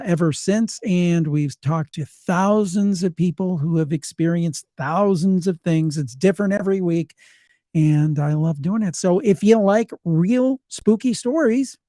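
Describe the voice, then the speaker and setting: A man with a fairly high, soft-edged voice speaks as a guest on a podcast. He chats casually in an interview style, recorded close and dry. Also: dry recording. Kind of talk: casual pitch in a live interview.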